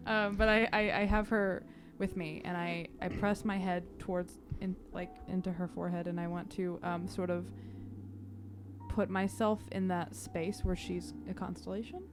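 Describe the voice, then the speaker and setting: A woman playing a tabletop roleplaying game, describing her character's actions in a halting, detailed way. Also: fairly low pitch, flat delivery, noisy background. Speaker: player. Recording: prominent music behind the voice.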